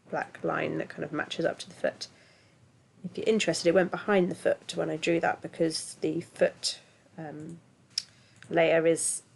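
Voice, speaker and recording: Stuffy-nosed woman, gravelly. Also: fairly low pitch. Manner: chatty, self-deprecating, filler-heavy. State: congested from a cold. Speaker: tutorial host. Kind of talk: casual screen-recorded software tutorial.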